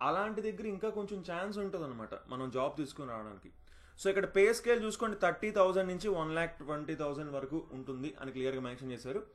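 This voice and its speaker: Fast-talking man. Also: on the high side, expressive. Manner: instructive, often checking in with the listener. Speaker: job notification presenter. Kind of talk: informal explainer of a job notice, mixing in English terms.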